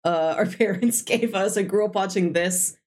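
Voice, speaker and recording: A woman's voice: medium pitch, normal speed, darkish tone. A woman co-hosting a podcast in a casual, conversational style. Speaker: podcast co-host. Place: indoor room, recorded in person.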